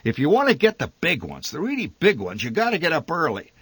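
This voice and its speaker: A man voicing a blustering, short-tempered middle-aged father who shouts and curses at his family. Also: medium pitch, big pitch swings, extremely slow, dry recording, voice pushed up, heavily acted. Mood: cheerful, eager. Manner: shouting, cursing, exasperated.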